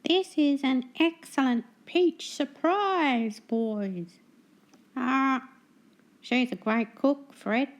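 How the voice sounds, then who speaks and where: A woman's voice: high-pitched, very slow, pitched above the performer's own voice, heavily acted. A woman voicing a greedy, cheeky male seagull in a children's storybook, gloating and teasing.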